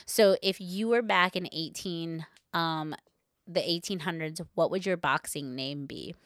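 Casual, slangy woman podcaster, slightly low voice. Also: unhurried, a bit bright, no echo, studio quality. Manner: informal, slangy, heavy use of "like". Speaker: podcast co-host telling a story. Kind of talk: casual conversational podcast chat.

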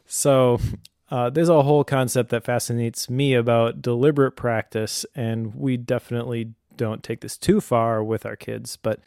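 A middle-aged man with a medium-pitched voice explains his advice in a casual, thoughtful way. He talks as a parent would, in a dry recording with little room sound. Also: fairly even intonation, unhurried, gravelly, a little mellow, clear, resonant tone, no echo. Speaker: homeschooling parent giving advice.

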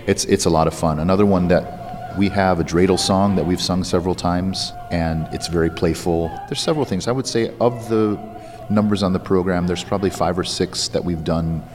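A man with a low-pitched voice talks conversationally, as a music director being interviewed. He explains things in a thoughtful way. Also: long reverb tail.

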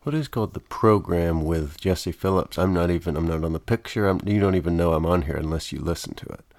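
A very deep male voice, recorded close and dry with no room sound. He plays the put-upon intern on a comedy podcast, answering in a meek, deadpan way, with a mildly aggrieved edge. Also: fast talker, no echo. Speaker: intern and sidekick.

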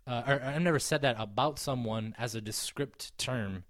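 A man's voice: mid-range voice, moderate pace, fairly bright tone, dry recording, studio quality. A man in his thirties chatting casually as a podcast co-host, rambling with many restarts and fillers and swearing freely. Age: 30s. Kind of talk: casual chat.

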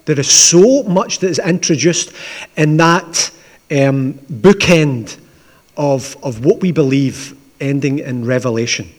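A man with a Glaswegian accent preaches in a relaxed, conversational way, slipping in dry asides. His voice sits a little higher than most men's. The sound carries a long church reverb. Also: slow pace. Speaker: pastor. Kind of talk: sermon with opening prayer.

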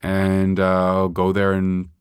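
A middle-aged man from Montreal talks about his work in a casual interview. His voice sits very low, and the sound is close and dry. He thinks aloud, trailing off and restarting often. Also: monotone, takes it slowly, clear, resonant tone, dry recording. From Montreal, Canada. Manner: hesitant, many restarts and fillers, reflective. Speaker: artist guest.

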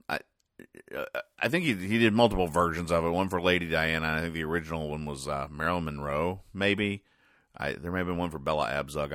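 A man hosting a casual comedy podcast, recapping things in a deadpan, rambling, halting way. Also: low-pitched, dry recording, studio quality. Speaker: podcast host.

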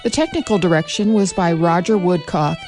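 A woman announcer reading the closing credits of a radio play in a brisk, even way. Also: fairly low pitch, over music.

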